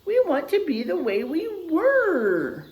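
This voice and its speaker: Woman as outraged, high-pitched storybook animals. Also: huge pitch swings, slow pace, a little mellow, exaggerated voice. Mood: whiny complaint. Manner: exclamatory, dramatic. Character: crowd of outraged, bewildered African animals, storybook.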